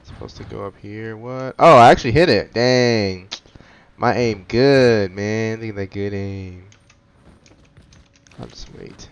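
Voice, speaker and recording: Laid-back, lowish-voiced man commenting on gameplay. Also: slow pace, smooth. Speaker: gaming commentator. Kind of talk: casual playthrough commentary. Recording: faint noise, keyboard and mouse clicks.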